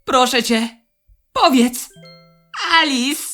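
A woman voicing a small, self-important, needy cartoon demon in a theatrical, expressive way for a Polish fan dub. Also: high-pitched, extremely slow, faint music behind, heavily acted. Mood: pleading.

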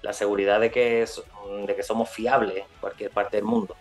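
A man's voice: fairly low pitch, level tone, fairly quick, soft background music. Man rambling on a video call. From Spain. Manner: rambling, restarts, tag questions. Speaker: guest musician. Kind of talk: casual video call interview. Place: indoor room. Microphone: webcam on video call.

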